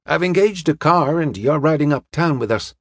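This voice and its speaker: A man playing a cheerful, brisk young American man in an audiobook, informal and upbeat. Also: a little high, lively intonation, no room sound, voice pushed up.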